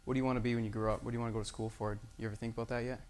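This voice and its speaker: A man leading a church gathering, chatting warmly with children before leading a prayer. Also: medium pitch, level tone, very fast, slight background noise. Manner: friendly, chatty with children, then solemn in prayer. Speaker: church youth leader.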